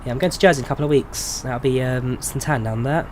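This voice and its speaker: A man's voice: medium pitch, quick pace, a little hiss or hum. A man in his twenties with an English accent, chatting casually with viewers on a live stream and answering their questions. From England. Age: 20s. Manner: relaxed, chatty, answers chat questions with frequent ums. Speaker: flight sim streamer.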